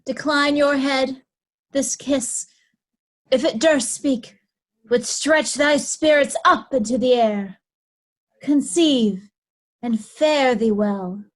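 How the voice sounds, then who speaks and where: A woman playing a commanding, seductive and scornful noblewoman, deliberate and imperious, in a Shakespeare reading. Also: high voice. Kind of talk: remote readers' theatre Shakespeare performance.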